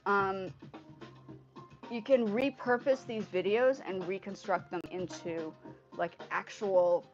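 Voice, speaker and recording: A woman from Indonesia, a native Indonesian speaker, hosting a casual livestream in a rambling, animated way, often repeating her phrases. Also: medium pitch. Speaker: livestream host. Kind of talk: casual livestream chat with viewers. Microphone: earpiece mic on a phone. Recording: dull lo-fi livestream audio through earpiece mic.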